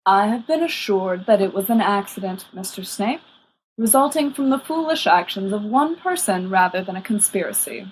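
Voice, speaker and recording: A woman voicing a serene, courteous old male wizard headmaster in an audiobook, measured, formal and gently firm. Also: medium pitch, lively intonation, a little hiss or hum, natural voice, rough recording. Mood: calm, benevolent. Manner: measured, formal, politely wordy. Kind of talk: audiobook character reading.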